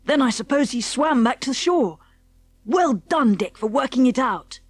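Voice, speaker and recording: A woman voicing a confident, eager schoolboy adventurer with an English accent and hearty, brisk diction for a children's audiobook. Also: sits high, expressive, no echo. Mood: pleased, excited. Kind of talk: children's audiobook character acting.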